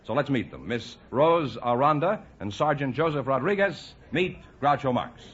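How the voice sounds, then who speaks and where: A man's voice: medium pitch, animated, moderate pace, fairly bright tone, slightly rough. An American man politely introducing guests as the announcer of an old radio show. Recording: dull vintage radio, faint hiss.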